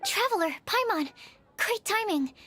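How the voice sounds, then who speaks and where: A woman's voice: very high voice, expressive, a little slow, heavy background noise. A woman voicing a friendly, earnest young woman in an anime video game, explaining things politely. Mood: friendly, organised.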